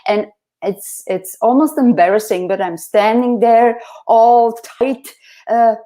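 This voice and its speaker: A soft-spoken woman hosting a casual interview over a video call, earnest and reflective, laughing often. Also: a little high, fairly even intonation, takes it slowly. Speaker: host.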